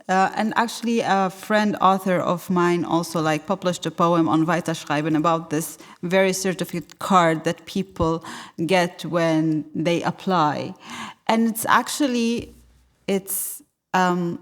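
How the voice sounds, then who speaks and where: A woman from Syria speaking on a discussion panel in a large hall, animated, laughing often and searching for words. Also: fairly low pitch, slow.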